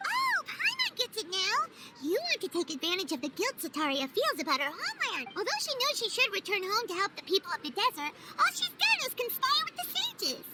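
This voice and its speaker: A woman voicing a tiny, excitable, naive childlike fairy companion in an animated video game, with a squeaky voice. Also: a little fast, heavily acted. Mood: eager, understanding. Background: loud music.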